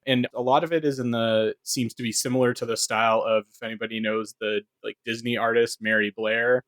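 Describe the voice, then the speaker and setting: A man speaks in an average male pitch with very little room sound. He explains things in a casual, detailed way, as a co-host chatting on a podcast. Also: steady tone, a little fast, a bit bright, no room sound.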